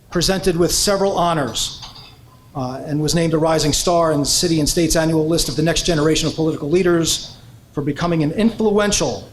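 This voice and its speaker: A man's voice: a little high, a little hiss or hum, rough recording. A man introducing honorees at an awards evening, reading prepared biographies in a formal way with frequent "uh" fillers.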